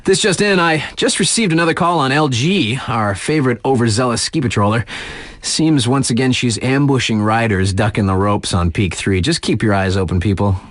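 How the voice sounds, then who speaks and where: A man's voice: mid-range voice, normal speed, a bit bright, no room sound, natural voice. A man playing a laid-back, sardonic young radio DJ in a video game, reading local news in a wry, slangy, conversational way. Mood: upbeat, sardonic.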